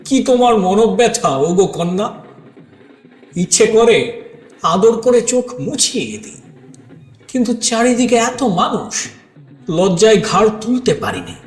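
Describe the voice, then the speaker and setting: Slow, echoey man acting a shy, emotive bridegroom. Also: sits high, sing-song. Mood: tender, bashful. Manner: slow, hushed, emotive delivery with dramatic pauses. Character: shy, doubt-haunted bridegroom recounting his wedding night, tender. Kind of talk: dramatic first-person audio story narration. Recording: echoey studio reverb under clear music.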